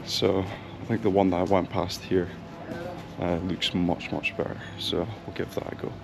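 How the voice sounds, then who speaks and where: A man's voice: low voice, flat delivery, moderate pace, creaky. A young man chatting casually as he walks around town, thinking aloud with plenty of ums, recorded on an action camera. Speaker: travel vlogger. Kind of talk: casual vlog chat while walking. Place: outdoor town streets and a bakery. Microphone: action camera mic. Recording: noisy outdoor street recording. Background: street traffic and town ambience.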